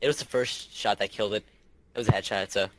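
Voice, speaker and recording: A man's voice: on the low side, fairly even intonation, fast talker. A man chatting casually with teammates while playing a shooter game. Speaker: gamer playing a team shooter. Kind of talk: casual chat during a game. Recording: very noisy game chat, gunfire, clicks. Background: game gunfire and clicks.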